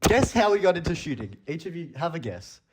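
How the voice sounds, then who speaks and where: A man's voice: on the high side, very animated, quick pace, noisy background. A young man co-hosting a podcast, chatting casually, laughing often and swearing. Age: young adult. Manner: laughing, playful, tells stories and swears. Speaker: podcast co-host. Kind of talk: casual chat between friends.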